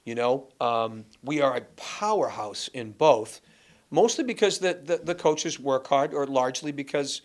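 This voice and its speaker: Animated rambling storyteller, a man. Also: medium pitch, a little slow. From Massachusetts. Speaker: guest, former school athletics director. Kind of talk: casual chat.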